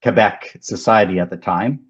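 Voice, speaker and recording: A man in his fifties whose first language is French, speaking as a guest in a casual interview in a measured way, with frequent ums and restarts. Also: lowish voice, husky, lo-fi sound. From Canada. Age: 50s. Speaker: guest, company founder.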